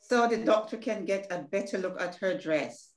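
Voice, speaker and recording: A woman's voice: medium pitch, normal speed, natural voice. Woman reading as inquisitive Caribbean little sister, roomy sound. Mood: nosy, indignant. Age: older. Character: inquisitive, indignant, tender-hearted younger sister in Barbados. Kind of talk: rehearsed play reading. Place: indoor room at home, on video call. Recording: roomy video call sound.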